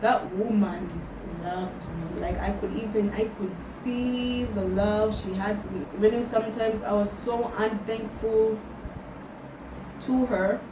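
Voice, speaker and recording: A young woman speaks in a reflective personal vlog, slow and thoughtful, with Swahili words slipped into her English. Her voice sits in the middle of the range for a woman. The recording is roomy and distant, with loud background noise. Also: mid-range voice, fairly even intonation. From Tanzania. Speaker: vlogger.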